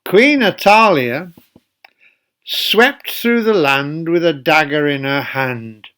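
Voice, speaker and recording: A man with a slightly high voice tells a children's Bible story at a very slow, deliberate pace. He addresses young listeners directly, in the style of a church storyteller reading aloud. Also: lively intonation, fairly bright tone, clean tone.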